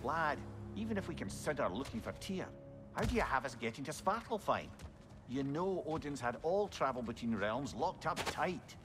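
An actor playing a wry, knowing old advisor in a video game, with a Scottish accent and a counselling manner. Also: male voice, mid-range voice, sing-song, normal speed, music in the background, natural voice. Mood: wry, thoughtful. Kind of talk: dramatic video game acting.

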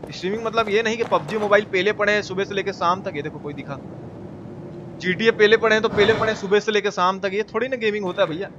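A man's voice: high voice, fast talker, muffled recording. A man from India with a Bihari Hindi accent, giving casual, teasing, foul-mouthed live gaming commentary and chatting with viewers. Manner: colloquial, teasing, swears freely. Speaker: video game live streamer. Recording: clearly noisy, game sound behind.

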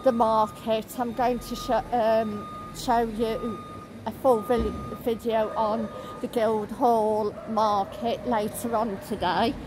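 A woman in her thirties films herself on a phone at arm's length as she strolls through town, pausing often on ums and uhs. Her voice sits a little high and she takes her time. Passing traffic and street noise are clearly heard behind her. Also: flat delivery. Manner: halting, full of ums and uhs, pointing things out. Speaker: travel vlogger. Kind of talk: walk-and-talk vlog narration. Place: outdoors on city streets. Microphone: phone camera held at arm's length. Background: traffic and street ambience.

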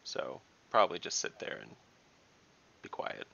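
A man playing a tabletop game on a video call, speaking in character with blunt, deliberate talk. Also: bright, forceful tone, dull audio. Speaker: player.

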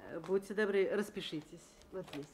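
A woman's voice: medium pitch, moderate pace, a little mellow, a little hiss or hum, natural voice. Courteous female hotel receptionist acted by a woman. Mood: courteous, helpful. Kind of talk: radio drama acting.

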